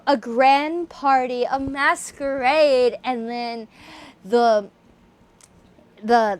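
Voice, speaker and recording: A woman with a theatrical, expressive way of speaking, presenting horror stories as an amateur reader and host. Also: a little high. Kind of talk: dramatic reading, then enthusiastic casual chat.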